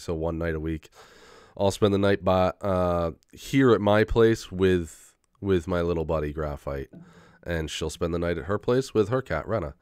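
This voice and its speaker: A man's voice: very deep voice, darkish tone, no echo, clean studio sound. A young man in a casual rambling monologue, full of fillers, restarts and swearing, getting over a head cold with an occasional cough. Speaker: vlogger.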